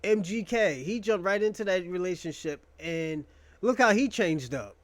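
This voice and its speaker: A man's voice: high voice, expressive, normal speed, no room sound. A young man hosting a casual monologue, rambling and joking in a crude, profane way. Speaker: podcast host.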